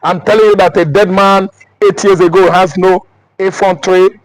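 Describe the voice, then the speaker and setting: A Nigerian man with a notably high-pitched voice, speaking emphatically and declaiming his points. He repeats himself for force. A faint hiss sits behind him. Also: level tone, normal speed, rough recording. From Anambra State, Nigeria. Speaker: interviewee. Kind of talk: heated street interview talk.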